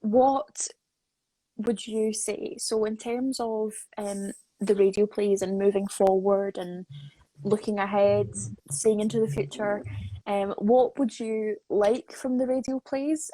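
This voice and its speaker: Enthusiastic woman interviewer with medium pitch. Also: steady tone, measured pace, dark tone, rough recording. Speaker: interviewer, associate producer. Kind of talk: casual online interview chat. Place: live social media video call.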